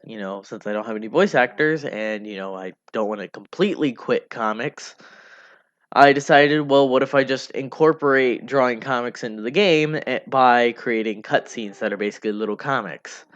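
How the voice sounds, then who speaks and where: A man giving casual, unscripted devlog commentary in a rambling, halting way, often repeating himself. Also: medium pitch, clean tone. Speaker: hobby game developer narrating a devlog. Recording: dull lo-fi mic under music. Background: music.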